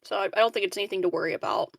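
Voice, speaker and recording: A woman's voice: mid-range voice, racing, gravelly, no room sound. A woman playing a tabletop roleplaying game, casually improvising an in-character answer.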